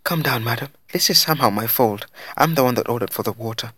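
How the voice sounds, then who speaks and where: A man's voice: mid-range voice, expressive, normal speed, creaky, sharp tone, husky, no room sound, full character voice. A man voicing a polite, apologetic young man speaking hurriedly in a story.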